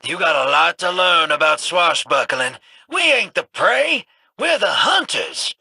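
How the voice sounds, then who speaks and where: A man voicing a cocky, swaggering rogue in a video game, with a raspy, folksy, playful delivery. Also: on the high side, big pitch swings, a little slow, pushed and bright, dry recording, exaggerated voice. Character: cocky, swaggering roguish pirate-style buddy. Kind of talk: video game character acting.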